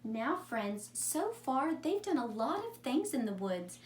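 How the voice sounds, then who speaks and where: Female teacher for children's story time, fairly high voice, simple questioning delivery, recorded at a distance. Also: sing-song, slow pace. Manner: simple wording, addresses young listeners with questions. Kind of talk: read-aloud story time for young children. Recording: echoey room, distant mic, faint noise.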